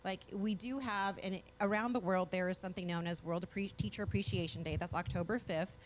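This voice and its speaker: A woman's voice: medium pitch, steady tone, fairly quick, poor audio. A woman with a mid-range voice hosts a morning talk show in a bubbly, chatty way, eagerly explaining word histories. She is from Mexico. There is a faint noise under the recording. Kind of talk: casual studio chat.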